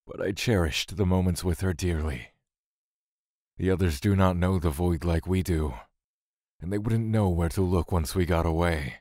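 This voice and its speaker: A man's voice: low-pitched, warm tone, no echo, clean studio sound, natural voice. A man playing a weary, brooding god who solemnly recounts his love and exile in an audio drama, with music underneath. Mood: somber, reflective. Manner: slow, solemn, brooding storytelling.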